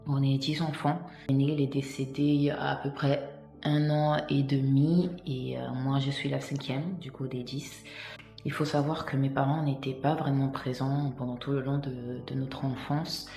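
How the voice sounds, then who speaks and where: A woman's voice: a bass voice, level tone, moderate pace, mellow, reverberant, faint music behind. A woman from Italy sharing her personal faith testimony in a vlog, earnest and hesitant, with many fillers and restarts.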